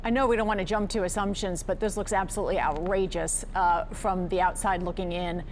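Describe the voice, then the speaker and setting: A woman news anchor questioning a reporter live, speaking deliberately and probingly while sometimes searching for words. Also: fairly low pitch, fairly even intonation, slight background noise.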